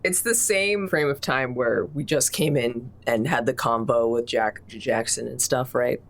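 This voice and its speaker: A woman's voice: extremely low pitch, unhurried. A woman player in casual improvised game chat, wryly thinking aloud as she decides what to say.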